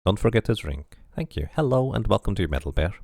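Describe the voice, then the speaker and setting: A man's voice: fairly low pitch, big pitch swings, a little fast, gravelly, a little mellow, no echo, clean studio sound. A man streaming a casual playthrough, reading the game's narration aloud and chatting between passages. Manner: reads narration aloud, then chats casually and laughs.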